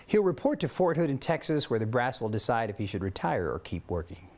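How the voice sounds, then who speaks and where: A man's voice: mid-range voice, big pitch swings, fairly quick, a little mellow. A man with an American accent anchoring a TV newscast, reading scripted stories and chatting lightly between them. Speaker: TV news anchor.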